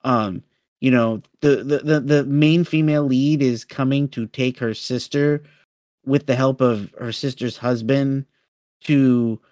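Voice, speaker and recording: A man's voice: medium pitch, no echo. Man casually co-hosting, dry close sound. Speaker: podcast co-host. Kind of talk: casual film discussion.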